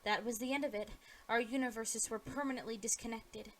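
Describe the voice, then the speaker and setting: A woman voicing a calm, grave male celestial attendant, explaining in a measured way in an amateur audio play. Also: on the high side, slight background noise, natural voice. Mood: solemn explaining.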